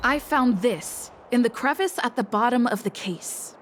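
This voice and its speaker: A woman's voice: fairly high pitch, lively intonation, moderate pace, slight background noise, close to an everyday voice. An actress voicing an exasperated, matter-of-fact young woman in a game cutscene.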